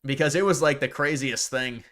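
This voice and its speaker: Halting man chatting on a live stream. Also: medium pitch, fairly bright tone. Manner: halting, restarts and repeated words. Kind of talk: casual live commentary on clips.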